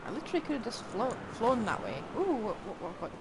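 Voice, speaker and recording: A woman's voice: on the high side, sing-song, fast talker. A woman giving casual, wry live commentary as she streams a game, often muttering to herself. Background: game music.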